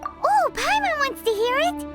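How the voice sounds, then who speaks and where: An actress voicing a tiny, cheeky, excitable childlike fairy girl companion in a game, with a chirpy, blunt manner. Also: very high voice, unhurried, heavily acted. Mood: excited, impressed. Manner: third-person self-reference, blunt, chirpy. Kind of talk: scripted game dialogue. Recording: background music under the voice.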